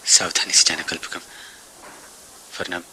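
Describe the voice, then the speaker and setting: An actor in a radio play voicing a devoted, worried lover who is hesitant and pleading. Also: male voice, low-pitched, flat delivery, unhurried, pushed and bright, slight background noise, heavily acted, low-quality recording. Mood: sad, worried. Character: devoted, worried lover reluctant to let his beloved go. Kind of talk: Hindi radio play acting.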